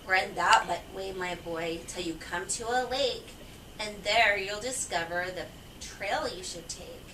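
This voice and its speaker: A woman's voice: mid-range voice, measured pace, sharp tone, voice pushed down. Through echo and noise comes a grumpy, wise old-school storybook owl played by a woman. He growls out his advice in a commanding, urgent way, sounding a little distant from the microphone. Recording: echoey, distant, noisy home recording.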